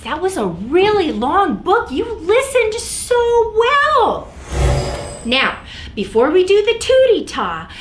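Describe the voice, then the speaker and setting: A woman's voice: very high voice, a bit bright. A woman hosting a children's story time, reading aloud expressively and speaking directly to young listeners. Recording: echoey room, faint background noise.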